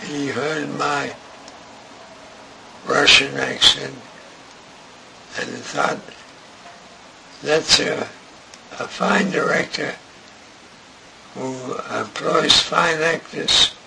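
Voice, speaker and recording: An older man recalling his radio career in an interview, speaking haltingly and restarting often. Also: on the high side, level tone, creaky. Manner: slow, halting, with many restarts. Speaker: interviewee, radio writer and director. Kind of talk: reminiscing interview. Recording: noisy recording.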